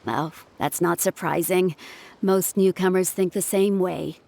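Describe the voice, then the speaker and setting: A woman's voice: on the low side, steady tone, vocal fry, darkish tone. Composed, earnest female ship's surgeon. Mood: gentle, reassuring. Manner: measured, explanatory, polite. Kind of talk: scripted video game dialogue.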